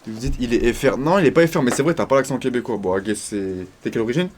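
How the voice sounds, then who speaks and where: Man, young adult, from northern Mauritania, stream host, rapid speech, repeats himself, casual chat. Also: medium pitch, a little hiss or hum. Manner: fast, eager, repeats his words.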